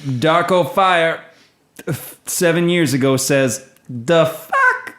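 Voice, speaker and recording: A man's voice: fairly high pitch, slow pace, a bit bright, reverberant. A man co-hosting a casual podcast, reading comments aloud and laughing often. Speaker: podcast co-host. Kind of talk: casual chat.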